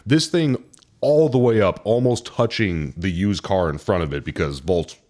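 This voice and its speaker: A man's voice: deep voice, normal speed, darkish tone. A man co-hosting a podcast, telling a workplace story casually and animatedly, with casual swearing. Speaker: podcast co-host, service advisor. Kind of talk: casual chat.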